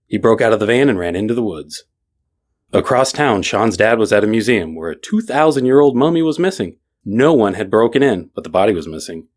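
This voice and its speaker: Low-pitched male storyteller. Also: lively intonation, brisk, a little mellow. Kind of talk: scripted bedtime story narration.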